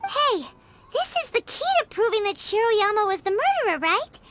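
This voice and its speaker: A woman voicing a small, curious, excitable childlike fairy companion in a video game, squeaky and given to wondering aloud. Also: normal speed, background noise. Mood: curious, puzzled.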